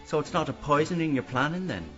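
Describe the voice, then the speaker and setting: An actor playing a guarded, dry-witted career criminal in a radio mystery, speaking tersely. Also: male voice, a little high, expressive, quick pace, fairly bright tone. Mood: dry, businesslike. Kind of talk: radio mystery drama acting. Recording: dull band-limited audio with music.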